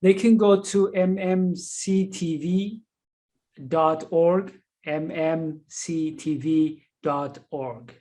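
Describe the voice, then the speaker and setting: A man from Ethiopia with an Ethiopian accent, speaking as a guest in an interview and explaining his background in an earnest, deliberate way. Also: a little high, steady tone, warm tone. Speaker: guest, leadership coach and author. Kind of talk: interview answers.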